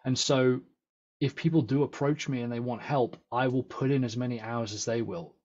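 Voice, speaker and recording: A British man speaks earnestly in a casual podcast interview, thinking aloud and restarting his sentences. His voice is slightly husky, and the sound is muffled like remote call audio. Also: mid-range voice, steady tone, normal speed, darkish tone. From England. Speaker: podcast guest, agency founder.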